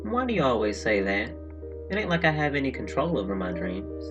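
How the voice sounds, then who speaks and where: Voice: mid-range voice, sing-song, a little fast, natural voice. Someone playing an earnest young colt, muffled recording. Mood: puzzled. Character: young colt pony, earnest, skeptical, caring son. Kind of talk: acted audio fanfic reading. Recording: dull lo-fi home recording, music underneath.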